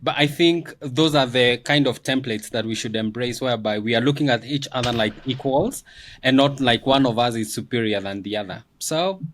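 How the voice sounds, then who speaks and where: Man, mid-pitched, measured, thoughtful, casual fillers, reflective conversation. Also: fairly bright tone. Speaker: guest commentator.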